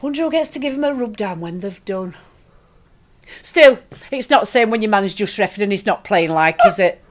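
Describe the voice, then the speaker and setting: A woman's voice: mid-range voice, lively intonation, normal speed, natural voice. A woman acting a chatty, nosy Yorkshire shopkeeper in a radio play, gossipy and a bit saucy. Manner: gossipy, colloquial.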